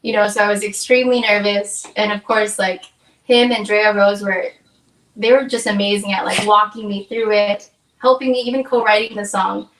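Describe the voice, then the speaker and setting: A woman's voice: mid-range voice, fairly even intonation, moderate pace, a bit bright, distant mic. A chatty young woman from Hawaii talks as a guest singer-songwriter and acts out the things people said to her. She sits back from the mic, and her voice rings around an echoey room. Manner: upbeat, chatty, acts out quoted exchanges. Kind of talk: casual interview chat.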